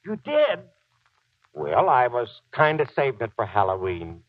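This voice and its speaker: An actor playing a gruff, boastful but soft-hearted old Marine sergeant and husband in a 1940s radio drama, with slangy, folksy, ungrammatical talk. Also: male voice, mid-range voice, huge pitch swings, a little slow, noisy background. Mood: sheepish. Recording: dull, lo-fi 1940s radio recording.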